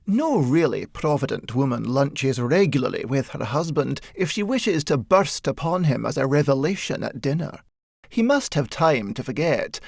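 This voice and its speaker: A man narrating a satirical short story as an audiobook, with measured, wry storytelling. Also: medium pitch, vocal fry, darkish tone, no echo, clean studio sound.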